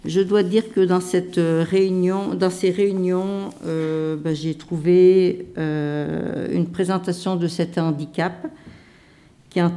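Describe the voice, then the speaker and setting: A woman caregiver giving a measured, hesitant personal testimony at a conference round table. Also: fairly low pitch, dark tone. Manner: measured and hesitant, many pauses and restarts. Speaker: caregiver panelist.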